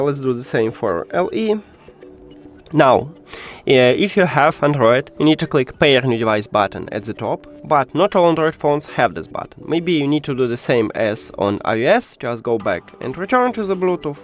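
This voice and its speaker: A man hosting a step-by-step tech tutorial in an instructional way, with slightly non-native phrasing. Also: medium pitch.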